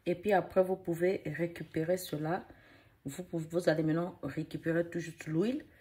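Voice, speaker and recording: A woman's voice: on the low side, steady tone, moderate pace, a little mellow. A woman with a cold and a cough, casually demonstrating a hair care recipe at home and rambling with many fillers.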